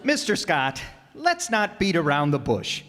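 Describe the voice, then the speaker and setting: A man's voice: a little high, huge pitch swings, unhurried, fairly bright tone, long reverb tail, exaggerated voice. A man playing a thick-skinned freeloading sidekick in a radio comedy, folksy, wisecracking and unbothered. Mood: cheeky, unbothered. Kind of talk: old-time radio comedy acting before an audience.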